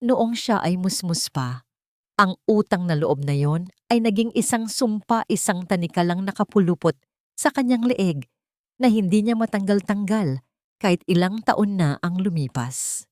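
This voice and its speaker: A woman's voice: mid-range voice, animated, a little slow, darkish tone, no room sound, studio quality. A woman storyteller reading a scripted dramatic narration in a solemn, emotive, literary manner.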